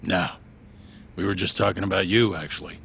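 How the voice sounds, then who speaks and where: A middle-aged male boss in a game dub, wry and matter-of-fact. The voice is deep and full of gravel, measured in delivery, over faint background noise. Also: steady tone, fairly bright tone. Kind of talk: English video game dub acting.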